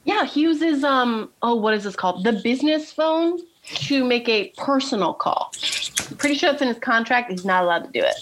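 A woman's voice: on the high side, animated, moderate pace, noisy background. A woman co-hosting a podcast, chatting casually as she recaps a TV show. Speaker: podcast co-host.

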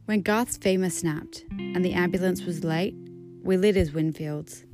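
An Australian woman giving a dramatic poetry reading in a deliberate, deadpan manner. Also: on the low side. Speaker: guest poetry reader. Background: plucked guitar music.

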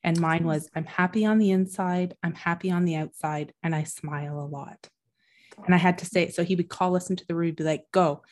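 Soft-spoken woman host. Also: on the low side, fairly even intonation, a little fast. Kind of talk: casual interview chat.

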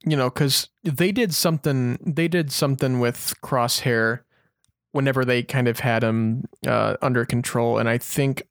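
A man of medium pitch hosts a casual podcast. He speaks informally and a bit haltingly, in a dry recording with very little room sound. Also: fairly even intonation, dry recording. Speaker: podcast host. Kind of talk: casual chat, hosting listener questions.

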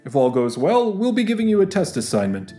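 A man's voice: sits high, huge pitch swings, fairly quick. A man playing a formal, courteous, businesslike job interviewer in a scripted audio drama. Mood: polite, businesslike. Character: polite, businesslike male corporate job interviewer. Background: quiet music.

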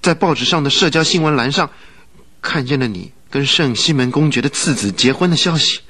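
An actor playing an earnest, determined young husband in a Chinese radio drama. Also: male voice, a little high, fairly quick, sharp tone, husky.

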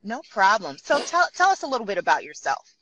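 A woman's voice: medium pitch, sing-song, brisk, a bit bright, no echo, poor audio. A woman hosting a podcast interview, enthusiastic and often laughing. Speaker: podcast host.

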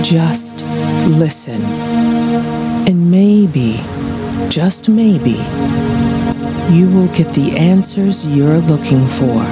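A woman acts out a calm female medium of a radio play, reassuring and solemn. Her low voice moves slowly and soothingly, with loud music and rain behind her. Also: heavily acted.

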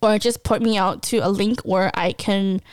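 A woman's voice: medium pitch, steady tone, moderate pace, smooth, no echo. A woman in her twenties talking casually as a podcast host, chatty, laughing often and restarting her sentences. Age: 20s. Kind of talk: casual personal talk.

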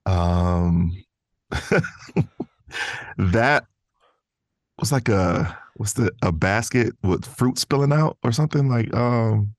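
A man's voice: on the low side, takes it slowly, pauses often, no echo, studio quality. An American man talking casually as a guest on a podcast. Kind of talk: casual chat.

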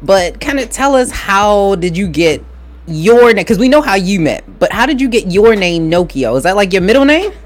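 A woman from Baltimore co-hosting a casual chat show, animated and laughing often. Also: mid-range voice, normal speed. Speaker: podcast co-host.

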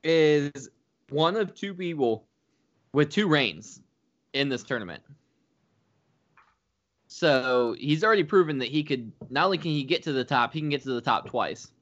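A man's voice: a little high, expressive, measured pace, pauses often, a bit bright, highs cut off. A man co-hosting a casual podcast chat, arguing his picks point by point. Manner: argues his case in points.

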